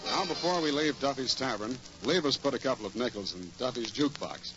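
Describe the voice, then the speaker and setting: A man's voice: medium pitch, brisk, natural voice. A man giving a folksy radio music introduction in slangy, rhyming patter. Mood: showy. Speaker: jukebox segment announcer. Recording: muffled old radio sound over music.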